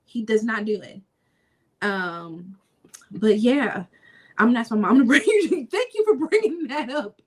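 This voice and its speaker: Chatty laughing woman, slightly high voice. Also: lively intonation, a little slow, breathy edge. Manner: chatty, laughs often, many fillers. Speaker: podcast co-host. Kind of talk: casual podcast chat.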